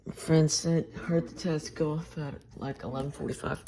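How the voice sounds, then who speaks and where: Woman, lowish pitch, rambling playful vlogger, background chatter. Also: fairly even intonation, moderate pace, rough recording. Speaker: vlogger showing weather radios. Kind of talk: casual excitable home vlog chat.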